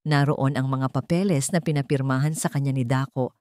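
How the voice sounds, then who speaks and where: Low-pitched Filipino woman narrating, dry sound. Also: steady tone, brisk, mellow, no room sound. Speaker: story narrator. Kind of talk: scripted dramatic story narration.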